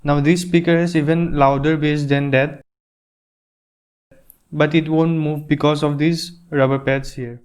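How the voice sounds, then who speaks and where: A man's voice: on the high side, little pitch movement, measured pace, a little mellow, clean tone. A man narrating an unboxing and product review in a plain, step-by-step explaining way, recorded on an earphone microphone.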